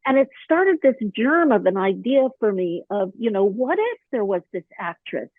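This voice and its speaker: A slightly hoarse woman chatting as an interview guest, telling stories animatedly with lots of fillers. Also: mid-range voice, sing-song, moderate pace, dry recording. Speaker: podcast guest, author and actor. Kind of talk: casual interview chat. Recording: dry, thin phone-line sound.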